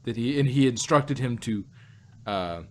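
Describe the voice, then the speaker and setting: A man chatting casually about stories in a rambling, halting way, often repeating words as he works out a thought. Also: mid-range voice, expressive. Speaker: podcast host reviewing audio dramas. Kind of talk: casual chat, fan speculation. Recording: noticeable background noise.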